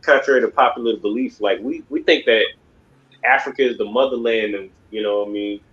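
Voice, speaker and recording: A man's voice: fairly low pitch, brisk, a bit bright, dull audio. A man leading a religious class lecture, talking emphatically and rambling, with constant repeated fillers. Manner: rambling, emphatic, repeats "you know what I mean". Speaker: class instructor.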